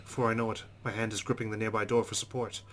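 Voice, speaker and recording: A man's voice: lowish voice, flat delivery, fast talker, fairly bright tone, slight background noise. A man hosting a let's play, narrating a visual novel aloud and adding his own asides.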